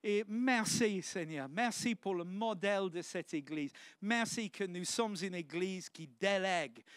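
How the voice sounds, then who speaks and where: A man's voice: high-pitched, smooth, dry recording, studio quality. A man, preaching a sermon in church with earnest, exclamatory restarts. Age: 50s.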